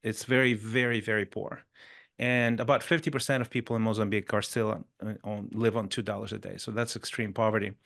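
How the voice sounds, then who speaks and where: A man's voice: on the low side, flat delivery, brisk, gravelly, fairly bright tone. A middle-aged man from Chile, a podcast guest telling his life story in a conversational way, often adding "sort of" and "right?". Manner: frequent "sort of" and "right?" tags, brief restarts. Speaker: podcast guest, performance coach.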